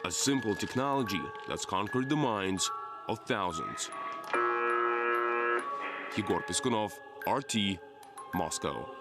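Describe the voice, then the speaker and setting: Low-voiced male news reporter over music. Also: expressive, measured pace, pauses often. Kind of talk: scripted report narration. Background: music bed.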